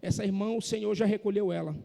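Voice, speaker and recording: High-voiced preacher, gravelly, echoing hall. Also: male voice, steady tone, quick pace. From Northeast Brazil, Maranhão. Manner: impassioned preaching, rising to shouts. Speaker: pastor. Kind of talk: sermon. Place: church hall. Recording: echoey church hall.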